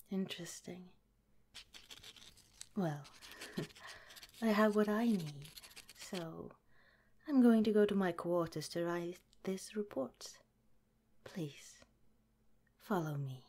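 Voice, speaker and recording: A woman voicing a sly but gentle scientist who runs an underground lab, in a soft, half-whispered ASMR roleplay. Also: lowish voice, a little hiss or hum. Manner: sweetly hospitable, with a scheming edge.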